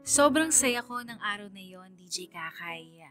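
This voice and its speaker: A devoted teenage daughter, tells her own story in a mid-pitched voice. The narration is earnest and heartfelt with dramatic pauses, over a musical bed in radio drama style. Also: animated, fairly bright tone, faint music behind. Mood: happy, hopeful. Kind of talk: first-person radio drama letter narration.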